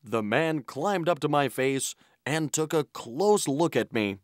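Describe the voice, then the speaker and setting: An actor playing an earnest, polite traveler who tells his adventure in an expressive children's story style. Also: fairly high pitch, unhurried, no echo, studio quality. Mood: earnest storytelling. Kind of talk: scripted children's story narration in character. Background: music.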